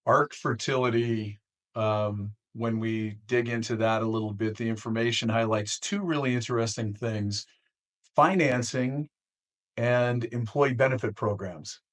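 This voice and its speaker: A man hosting an interview, asking questions conversationally in short, thoughtful, measured phrases. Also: mid-range voice, steady tone. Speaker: interviewer, host.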